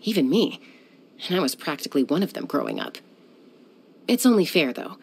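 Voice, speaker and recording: An actress voicing a cool, confident young woman who is a sky-hunter and peacemaker in a video game, speaking in a calm, composed, measured way. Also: deep voice, gravelly, husky, heavily acted. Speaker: game character.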